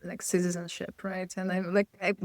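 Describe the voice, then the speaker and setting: A woman from Poland, a guest consultant, answering interview questions in a thoughtful, measured way, often checking in with "right?". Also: lowish voice, little pitch movement, no room sound, clean studio sound. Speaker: guest, psychological safety consultant.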